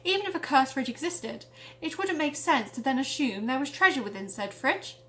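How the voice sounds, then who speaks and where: A woman's voice: high-pitched, expressive, moderate pace, sharp tone. A woman reading her own parody tale aloud with a theatrical storytelling delivery. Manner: theatrical, exaggerated delivery when narrating.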